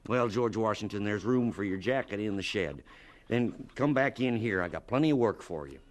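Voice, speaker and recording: An actor playing a gruff but kindly farmer and boss in a radio drama, blunt, folksy and emphatic. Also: male voice, on the low side, expressive, normal speed, lightly acted. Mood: gruff, suspicious.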